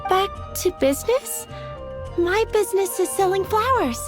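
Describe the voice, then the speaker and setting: An actress playing a nervous, stammering young flower seller in a video game. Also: female voice, very high voice, animated, normal speed, music in the background. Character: flustered young woman flower shop seller.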